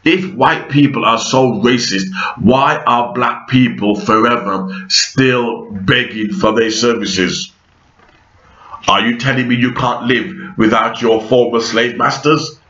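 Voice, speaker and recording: Deep harsh-voiced guy rambling slow at home, lots of ums, gets worked up, roomy muffled sound. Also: sharp tone. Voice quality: deep bassy voice. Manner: slow and hesitant with frequent ums, rising into heated ranting. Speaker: vlogger. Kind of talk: unscripted opinion monologue. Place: at home with pet rabbits nearby. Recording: echoey room, dull lo-fi sound.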